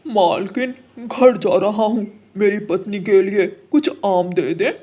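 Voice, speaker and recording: A woman voicing a stern, bossy male crow guard in an animated children's story. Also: mid-range voice, slow pace. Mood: polite.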